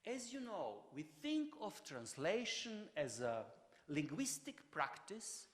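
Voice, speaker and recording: Halting man lecturing in a hall. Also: high voice, huge pitch swings. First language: Croatian. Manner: halting, many fillers and restarts. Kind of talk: public lecture.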